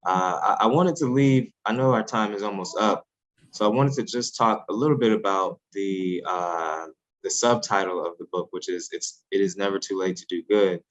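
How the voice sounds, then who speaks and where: Earnest deep-voiced man, measured. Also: highs cut off. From United States, Haitian family. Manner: measured, earnest, many fillers and restarts. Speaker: podcast guest, author. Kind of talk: reflective interview talk.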